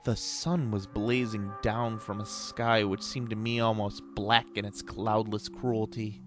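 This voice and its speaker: A man reading gothic horror as a despairing, doomed sailor, with a grave, measured, anguished delivery. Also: lowish voice, lightly acted. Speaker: story narrator.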